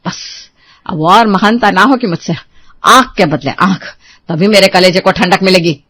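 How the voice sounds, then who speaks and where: A woman's voice: mid-range voice, moderate pace, a bit bright, close to an everyday voice. A woman playing an accusing, domineering middle-aged mother-in-law in a melodramatic Hindi family drama, scolding with bitter sarcasm. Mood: furious, vengeful.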